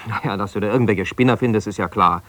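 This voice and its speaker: Man acting aggrieved lawyer husband, lowish voice, self-justifying, colloquial, quarrelsome, naturalistic radio drama. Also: fast, a bit bright, no room sound, close to an everyday voice. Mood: angry, frustrated. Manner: argumentative, exasperated, colloquial. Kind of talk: naturalistic radio play, one side of a phone call.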